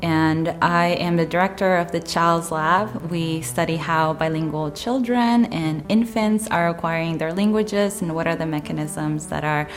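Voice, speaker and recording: A woman professor from Argentina, a native Spanish speaker, answering interview questions quickly and plainly. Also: deep voice, soft background music. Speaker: psychology professor.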